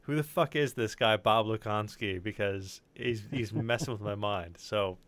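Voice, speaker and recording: A man's voice: on the low side, normal speed, a bit bright. A man chatting casually on a livestream voice call, enthusiastic and slangy, prone to swearing.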